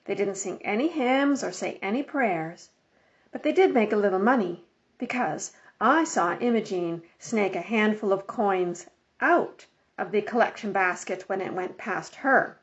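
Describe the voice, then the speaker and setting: Female children's audiobook narrator, mid-range pitch, scripted storytelling, slightly muffled recording. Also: expressive, unhurried. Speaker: audiobook reader.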